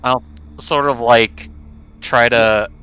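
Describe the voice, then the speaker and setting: A man playing a tabletop roleplaying game, talking casually and haltingly as he thinks aloud. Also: mid-range voice, steady tone, sharp tone, clean tone, soft background music. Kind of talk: casual improvised game chat. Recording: dull lo-fi audio, faint background noise.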